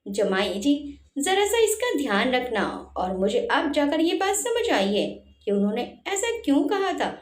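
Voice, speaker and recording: A woman's voice: high voice, big pitch swings, normal speed, hardly any pauses. A woman voicing an earnest, remorseful husband in a family story, with a firm manner. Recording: roomy echo on the voice.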